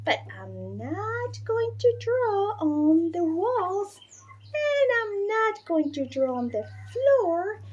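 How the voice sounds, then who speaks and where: A woman voicing a whiny, insistent little girl in a storybook, wheedling with drawn-out pleas. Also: very high-pitched, big pitch swings, darkish tone, highs cut off, pitched above the performer's own voice, full character voice. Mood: bored. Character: pleading, whiny little girl wanting markers.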